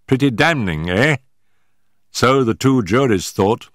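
A man reading an audiobook, voicing a brisk, sharp-minded male detective who sets out facts in a measured, emphatic way. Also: medium pitch, animated, no echo, studio quality, pitched above the performer's own voice. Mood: brisk, reasoning.

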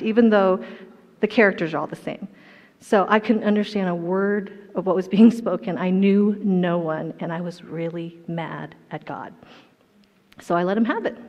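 A woman's voice: medium pitch, a little mellow. A middle-aged woman from Colorado giving a personal testimony talk on stage, with a storytelling manner and self-deprecating humor. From Colorado, USA. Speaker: guest speaker. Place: large indoor hall stage. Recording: dull, echoey hall sound.

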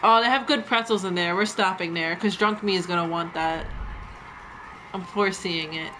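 A woman's voice: fairly low pitch, moderate pace, noisy background. A young woman with a New York accent, chatting brashly and swearing while streaming a fighting game live. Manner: brash, profane trash talk. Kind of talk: casual live gameplay chat. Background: loud game music.

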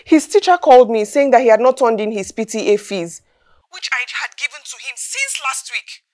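High-pitched, upset Nigerian mother. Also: very animated, moderate pace, darkish tone. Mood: distressed, pleading. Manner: scolding, pleading, repeats questions. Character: distraught, angry mother scolding her teenage son. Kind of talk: film drama acting.

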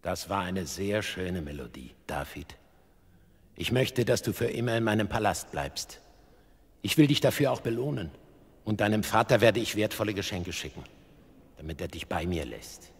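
A man's voice: low voice, expressive, normal speed, breathy edge, full character voice. An actor playing a fatherly biblical king in a German radio play. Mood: gentle, generous. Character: moody ageing biblical king, kindly to a young harpist.

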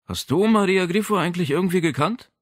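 Man playing a calm, direct policeman, animated intonation. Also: high-pitched, fast, no echo, studio quality, pitched above the performer's own voice. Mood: questioning. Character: calm, direct male island policeman and father. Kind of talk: audiobook character dialogue.

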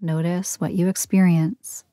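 A woman leading a guided meditation in a soothing, gentle, unhurried manner with long pauses between phrases. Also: on the low side, little pitch movement, dry recording, clean studio sound. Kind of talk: guided yoga nidra meditation.

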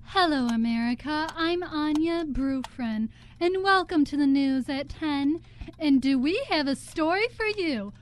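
A woman's voice: sits high, lively intonation, a little slow, a little hiss or hum. A young woman playing a prim, opinionated news anchor in a student radio play, formal and emphatic. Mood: brisk, formal. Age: young adult. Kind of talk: mock newscast in student radio theatre.

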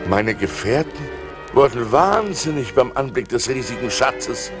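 An actor playing a male seafarer who tells his adventures in a slow, theatrical radio-play style, with dramatic swings, on an old vinyl record. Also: mid-range voice, big pitch swings, over music. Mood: excited. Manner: dramatic, slow, swinging between hushed and exclaiming.

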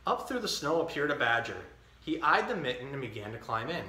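Guy in his 30s doing kids storytime, bright lively voice, kinda echoey room. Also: mid-range voice, fairly quick. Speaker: storytime host. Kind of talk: reading a children's picture book aloud. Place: indoor tiled-floor room. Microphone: camera a few feet away.

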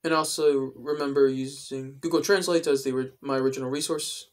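A young adult man explaining things in a casual vlog style, with careful, halting phrasing, recorded indoors at home. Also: medium pitch, fairly even intonation. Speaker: video creator. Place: indoors, small room at home.

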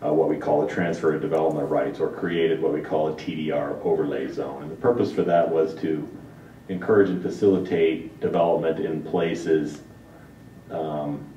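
A man's voice: steady tone, moderate pace, dark tone. A man presenting as a city manager, explaining matters in an informal, step-by-step way. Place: large indoor council chamber. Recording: dull, echoey distant chamber pickup with rumble.

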